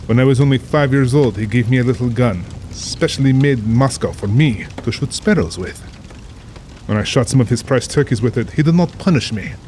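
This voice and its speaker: A man's voice: mid-range voice, fairly quick, a little mellow, a little hiss or hum, close to an everyday voice. A man playing a cultured, proud general and big-game hunter in an audio drama, speaking formally and boastfully with polished self-assurance. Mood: grandiose, reminiscing. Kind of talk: full-cast audio drama acting.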